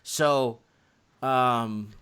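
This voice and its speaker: A man hosting a live call-in stream, talking casually in a halting, musing way and repeating himself. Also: mid-range voice, a bit bright, smooth. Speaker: live stream host taking guest calls.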